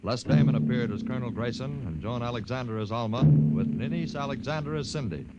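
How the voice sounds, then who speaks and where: A man's voice: mid-range voice, a little fast. A man making formal 1950s radio announcements as a programme announcer. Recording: muffled, very noisy old radio recording.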